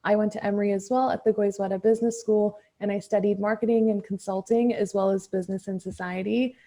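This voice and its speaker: A young woman, born in India, speaks with a warm, mellow voice. She is an upbeat startup co-founder who answers interview questions with real enthusiasm. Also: mid-range voice, flat delivery, fast talker. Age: 20s.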